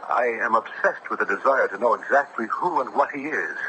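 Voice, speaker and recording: An actor playing an earnest, compassionate young judge in a 1970s radio drama, courtly, formal and intense. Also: male voice, mid-range voice, animated, fairly quick, highs cut off, lightly acted. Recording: noisy vintage radio broadcast.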